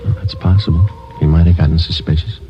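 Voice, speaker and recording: An actor in a 1950s radio police drama playing a terse, stoic sergeant who narrates cases in a clipped, matter-of-fact way. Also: male voice, sits low, fairly even intonation, fast talker, close to an everyday voice. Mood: deadpan. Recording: muffled vintage radio recording with music.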